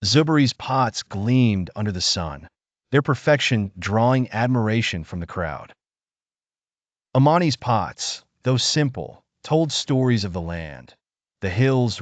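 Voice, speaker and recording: Male narrator for a scripted folktale: low register, animated melodic delivery, deliberate phrasing, close dry sound. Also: no room sound. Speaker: storyteller, narrator.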